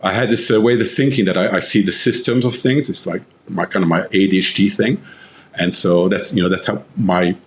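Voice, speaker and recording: A man's voice: sits low, steady tone, fairly quick, slight background noise. A middle-aged man from London with an Israeli-tinged English accent, a guest on a podcast interview, telling stories with lots of fillers and restarts. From London, England. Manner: rambling storyteller, many fillers and restarts. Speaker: author and tech founder, guest.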